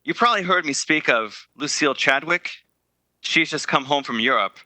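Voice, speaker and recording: A man playing an earnest, guilt-ridden young man in a 1930s-style radio drama, speaking haltingly and hesitantly. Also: a little high, expressive, bright, forceful tone, no echo, low-quality recording. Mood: uneasy, apologetic.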